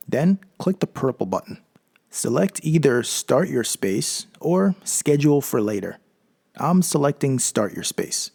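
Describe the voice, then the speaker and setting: A man with a mellow voice hosting a tech channel, reading scripted tutorial narration. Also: mid-range voice, vocal fry, husky.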